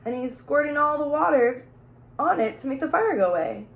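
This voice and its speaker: A woman teacher reading a picture book aloud to young children, playful and expressive, pausing to ask simple questions and explain. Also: high voice. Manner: expressive, playful, with gasps and exclamations. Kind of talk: children's storybook read-aloud with questions and asides.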